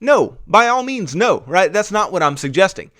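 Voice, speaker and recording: A man's voice: sits high, very animated, moderate pace, fairly bright tone, dry recording, clean studio sound. A man hosting a finance podcast, explaining money topics in a conversational monologue that keeps checking in with "right?" and "okay?". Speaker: podcast host.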